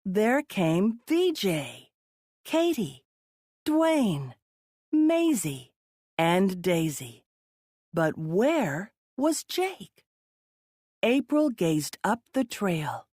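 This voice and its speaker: A woman reading children's stories aloud in a deliberate, expressive way for young listeners. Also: medium pitch, no room sound, clean studio sound. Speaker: children's storybook reader. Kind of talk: read-aloud children's story narration.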